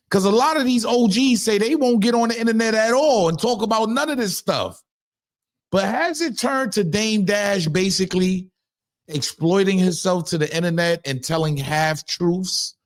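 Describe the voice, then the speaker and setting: Emphatic high-voiced Harlem man commenting. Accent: New York. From Harlem, New York. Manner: emphatic, repeats rhetorical questions. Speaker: commentary channel host. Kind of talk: casual commentary to camera.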